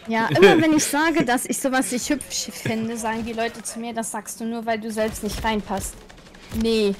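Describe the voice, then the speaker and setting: A woman's voice: a little high, steady tone, moderate pace, over a noisy crowd. A woman chatting casually and teasingly with friends in a voice chat. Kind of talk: casual group voice chat over gameplay.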